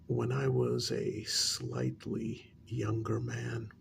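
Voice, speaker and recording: A man playing a patient middle-aged couples therapist in a soft ASMR role-play, speaking low, often near a whisper, in a measured, halting, placating way. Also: big pitch swings, creaky, noisy background, full character voice. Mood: gentle, patient.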